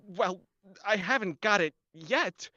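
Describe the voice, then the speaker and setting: Male actor as anxious, weak-willed radio-horror accomplice: sharp, melodramatic, wildly varied pitch, dull dry recording. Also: expressive, takes it slowly, dry recording, full character voice. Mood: defensive. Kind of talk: 1940s radio horror acting.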